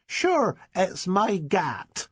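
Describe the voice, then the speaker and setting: A man voicing a young village boy with a Dorset accent, talking in a high treble with rustic dialect. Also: wildly swooping pitch, very slow, fairly bright tone, breathy edge, dry recording, heavily acted. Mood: defiant. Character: small tearful village boy, cheeky, playing tough.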